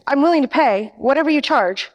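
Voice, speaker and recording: An actress playing a nervous, pleading nightclub singer with a hesitant manner, in a 1940s radio detective parody. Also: female voice, high voice, lively intonation, a little fast, dull audio. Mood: uneasy. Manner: hesitant, imploring. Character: nervous, pleading female nightclub singer, a femme fatale client.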